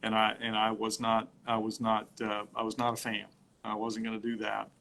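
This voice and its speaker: A middle-aged man talking casually on a phone livestream from home, telling his story haltingly with many fillers and restarts. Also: fairly low pitch, monotone, gravelly, bright, forceful tone, slight background noise.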